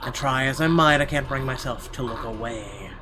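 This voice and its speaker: A man with an average-pitched voice reads visual novel narration aloud as a let's-play host. His delivery is expressive and dramatic, with music clearly playing behind him. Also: moderate pace. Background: game music.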